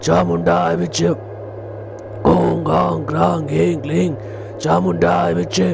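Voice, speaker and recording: Stern male tantric sage, acted by a man, cartoon horror, very gravelly, solemn, commanding, music behind. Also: a little high, monotone, unhurried. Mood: solemn chanting. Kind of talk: animated horror story acting.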